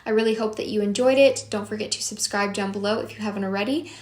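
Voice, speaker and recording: A young woman reviewing perfumes in a casual, gushing vlog, her voice a little hoarse from a long day of filming. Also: medium pitch, steady tone, darkish tone, slight background noise. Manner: chatty, unhurried and gushing. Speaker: beauty vlogger. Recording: echoey room.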